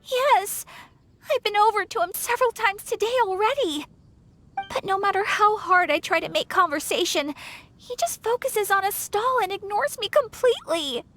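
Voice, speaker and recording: A woman's voice: extremely high pitch, animated, normal speed, a bit bright, faint music behind. An actress playing a lovelorn young townswoman in a video game, chatty and pleading. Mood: plaintive, pleading.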